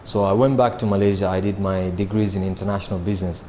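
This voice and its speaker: A man, answering interview questions about his sales job in a measured way, with frequent fillers and restarts. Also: low voice, fairly even intonation, a little mellow, slight background noise. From Kenya. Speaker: sales manager.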